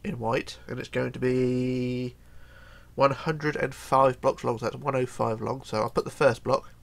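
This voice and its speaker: Guy with a cold doing a game build tutorial at his desk, gravelly and hoarse, keeps counting blocks under his breath. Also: medium pitch, little pitch movement, measured pace. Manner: chatty, counts blocks aloud, often trails into mumbling. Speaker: tutorial host. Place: home computer desk.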